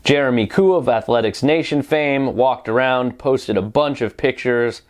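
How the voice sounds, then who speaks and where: A man's voice: mid-range voice, clean tone. A man delivering opinionated sports news commentary as a reporter, wry and pointed, often posing rhetorical questions.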